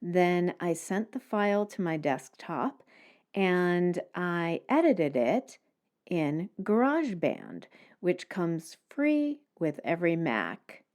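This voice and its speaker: A woman's voice: lowish voice, big pitch swings, takes it slowly, a little mellow. A woman hosting a solo podcast, explaining things step by step in plain-spoken language. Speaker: podcast host.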